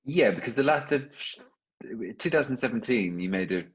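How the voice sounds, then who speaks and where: A man interviewing an artist in casual chat. He rambles gently and fills his sentences with fillers. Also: mid-range voice, lively intonation, normal speed, slightly rough, muffled recording.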